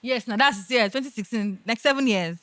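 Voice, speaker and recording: A woman with a Nigerian accent co-hosting a podcast, bantering excitably and repeating phrases as interjections. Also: a little high, a little fast, clean tone, no room sound. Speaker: podcast co-host.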